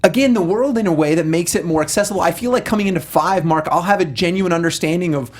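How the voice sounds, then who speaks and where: A man's voice: sits high, quick pace. A man co-hosting a casual review show, talking in an enthusiastic, readily agreeable and gushing way. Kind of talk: casual panel discussion of video game films.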